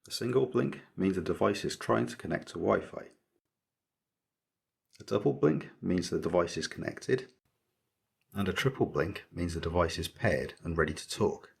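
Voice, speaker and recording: A man narrating a scripted electronics project tutorial as its maker, explaining methodically in a measured way. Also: deep voice, lively intonation, creaky, breathy edge.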